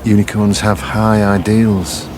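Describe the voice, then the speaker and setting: An actor playing a patient psychologist who draws out buried memories, speaking slowly and calmly in a coaxing, hypnotic way. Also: male voice, on the low side, noisy background. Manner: calm, slow, coaxing, hypnotic questioning. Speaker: memory recall specialist. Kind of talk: radio drama acting. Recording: music playing under the voice.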